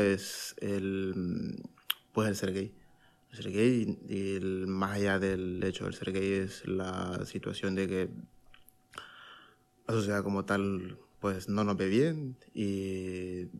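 A man in his thirties from Honduras, giving a recorded personal testimony in a measured way, with pauses and fillers. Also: low-pitched. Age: 30s.

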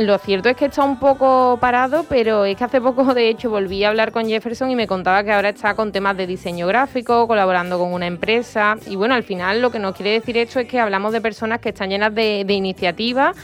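Female radio host, mid-pitched, chatty, music bed. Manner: warm conversational, frequent "pues" and "¿no?". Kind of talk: radio magazine chat.

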